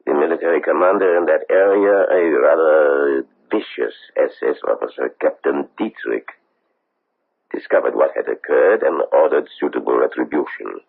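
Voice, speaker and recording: An actor playing a solemn, insistent government investigator from abroad in a 1970s radio drama, with formal, deliberate, pleading phrasing. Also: male voice, very deep voice, creaky, slightly rough, heavily acted. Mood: grave, urgent. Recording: muffled tinny old radio broadcast.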